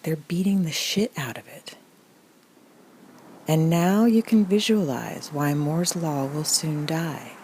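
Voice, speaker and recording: Female meditation guide for a parody: low register, very slow, soothing and straight-faced. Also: slight background noise. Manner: calm, soothing, deliberate, deadpan.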